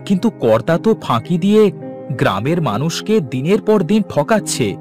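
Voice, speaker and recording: Guy doing cartoon poor milk farmer, stubborn haggler, highish n super lively. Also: brisk, faint music behind. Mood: pleased. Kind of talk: Bengali moral cartoon voice acting.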